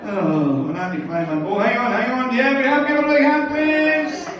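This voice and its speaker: A man's voice: extremely high pitch, normal speed, muffled recording. A man working as a tongue-in-cheek entertainer, giving live stage patter on a handheld microphone through a PA in a hotel function room. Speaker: hotel entertainer and quiz host. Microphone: handheld microphone through PA, filmed from audience. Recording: echoey PA from far off, music, crowd.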